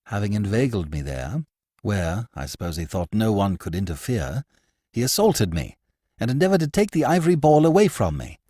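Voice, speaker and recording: A man's voice: on the low side, sing-song, normal speed, mellow, no echo, studio quality. A man reading a story, voicing a shaken honest young man in earnest, old-fashioned formal speech. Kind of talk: audiobook character voice.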